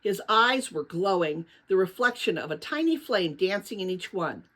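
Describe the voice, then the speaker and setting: A woman reading a children's novel aloud as narrator, with dramatic, expressive storytelling. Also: medium pitch, normal speed.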